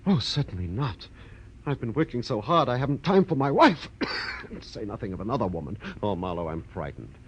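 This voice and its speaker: A man's voice: on the low side, big pitch swings, fairly quick. An actor playing an anxious, fussy businessman who fears for his life, earnest and agitated, in 1940s radio drama style. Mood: worried, pleading. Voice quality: smoker's coughs breaking into speech. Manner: agitated, earnest, clipped pleading. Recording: dull, noisy old radio recording.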